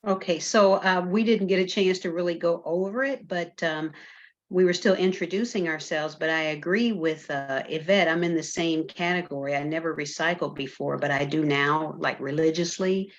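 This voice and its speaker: A woman's voice: low-pitched, moderate pace, dull audio. A woman speaking agreeably and earnestly in a group discussion over a video call. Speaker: meeting participant. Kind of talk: casual discussion.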